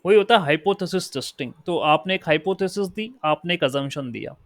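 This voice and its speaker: A man with an Indian accent teaching a statistics lesson. He explains in Hindi and reads definitions out slowly in English. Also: sits high, animated, dry recording. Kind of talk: lecture.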